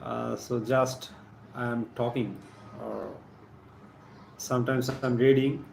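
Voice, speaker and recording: A man with a Bangladeshi accent giving a live chemistry lesson, partly reading aloud in a halting, deliberate manner, repeating phrases. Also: mid-range voice, level tone, vocal fry, a little mellow. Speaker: science teacher hosting a live stream. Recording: echoey room with faint background noise.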